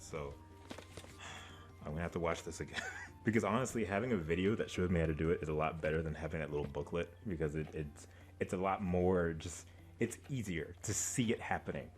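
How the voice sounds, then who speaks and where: Low-voiced man chatting casually. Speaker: reaction video host. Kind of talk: casual chat to camera.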